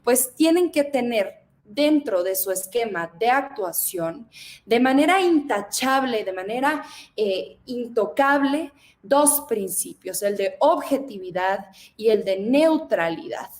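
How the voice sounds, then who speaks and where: A woman's voice: a little high, animated, slow, a little mellow. A Mexican woman in her twenties, a federal deputy, speaking emphatically in a political interview and addressing the audience. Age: 20s.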